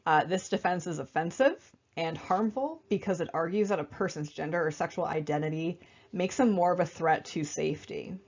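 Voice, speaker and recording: A young woman with a slightly raspy voice while getting over an illness, giving a casual, conversational slideshow presentation with many fillers. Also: on the low side, normal speed, a little mellow, dull audio. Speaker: student presenter.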